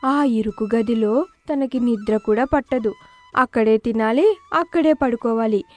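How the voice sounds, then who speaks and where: A woman's voice: a little high, unhurried, darkish tone, soft background music. A woman narrating a scripted moral story as a storyteller.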